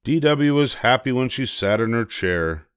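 A man's voice: mid-range voice, expressive, moderate pace, clear, resonant tone, dry recording, studio quality. An older man narrating a children's picture book expressively. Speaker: storybook reader.

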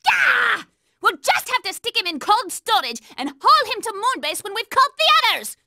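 Young cartoon girl, bossy, brash, hot-tempered, extremely high, swooping delivery. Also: very animated, normal speed, creaky, noisy background, full character voice. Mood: exasperated, angry. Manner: brash, shouty, commanding. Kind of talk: cartoon video game acting.

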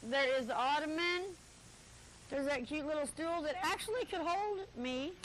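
A chatty woman in her thirties runs a live local auction show, walking a handheld camera through a cluttered stockroom. Her voice sits high and she tosses off teasing asides to the crew between items. A faint hiss hangs under the old tape recording. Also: moderate pace, fairly bright tone, poor audio. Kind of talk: live cable TV sales patter.

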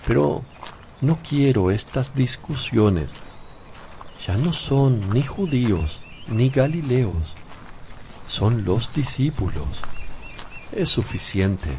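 An actor playing a calm, authoritative master teaching his disciples, solemn, measured and firm in a radio drama. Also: medium pitch, a little hiss or hum.

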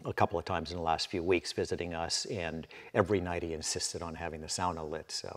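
Deep-voiced man in his sixties. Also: fairly even intonation, fast talker. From Canada. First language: Finnish. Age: 60s. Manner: rambling, many fillers and restarts. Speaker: winemaker guest. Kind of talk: relaxed interview conversation. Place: winery barrel room, indoors.

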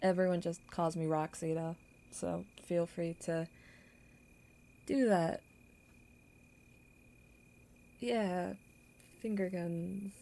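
Woman acting as kind awkward young woman, audio roleplay, halting and stammering, unhurried, fry in the voice. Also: lowish voice, fairly even intonation, dark tone. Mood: awkward, friendly. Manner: halting, flustered, stammering with nervous laughs.

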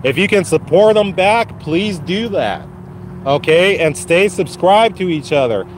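A man hosting his first live stream from a phone while walking a dog outside, his voice slightly raspy from a cold, casually encouraging viewers. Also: high-pitched, expressive, unhurried, slight background noise. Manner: encouraging, repetitive, addresses viewers by name. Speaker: channel host. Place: outdoors on a lawn walking a dog. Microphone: phone held while walking.

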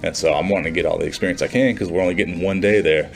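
A man's voice: sits low, fairly even intonation, very fast, vocal fry, soft background music. A man in his twenties talking informally and conversationally to camera, like a student vlogger in a small room. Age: 20s. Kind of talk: casual vlog talk to camera. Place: small plain indoor room.

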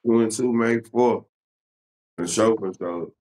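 A man's voice: fairly low pitch, takes it slowly, mellow. A man interviewing in a casual, slangy way, often repeating his phrases. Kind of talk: casual chat.

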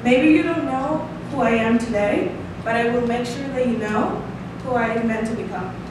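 A woman's voice: fairly high pitch, moderate pace. Costa Rican woman giving an earnest speech. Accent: Costa Rican Spanish. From Costa Rica. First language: Spanish. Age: 20s. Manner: earnest, reading aloud with hand gestures, light laughs. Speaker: student speaker. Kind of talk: prepared showcase speech read from paper. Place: small room, standing at a lectern. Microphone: stand mic at some distance. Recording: echoey room, distant mic, audible hiss.

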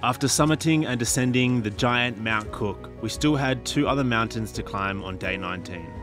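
A young man reading a calm, reflective voice-over narration of a journey. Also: mid-range voice, normal speed. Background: music.

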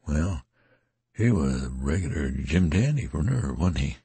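A man reading a weary wounded soldier in an audiobook, with a rustic, rambling drawl and a creaky, husky voice. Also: extremely low pitch, big pitch swings, unhurried, mellow, no echo, exaggerated voice. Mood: awestruck.

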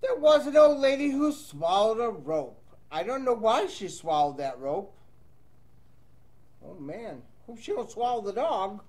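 A man's voice: high voice, very animated, measured pace, darkish tone. A man reading a children's storybook aloud in a playful, sing-song way, with chatty asides to young listeners. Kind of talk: animated read-aloud to children with casual asides.

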